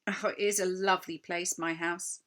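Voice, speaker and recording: An imperious storybook witch queen, as a woman reader puts her on, bright and forceful in tone. She lingers over her words with sweet, false kindness, coaxing like someone used to being obeyed. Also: on the low side, steady tone, lightly acted. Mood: enticing. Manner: sugary, drawn-out, coaxing.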